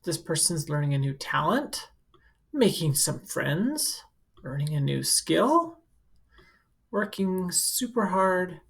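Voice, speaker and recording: A woman's voice: fairly low pitch, very animated, slow, gravelly. A woman working as a school counselor, reading a picture book aloud to children and adding casual, instructive explanations in simple words. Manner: simple, instructive phrasing aimed at young children.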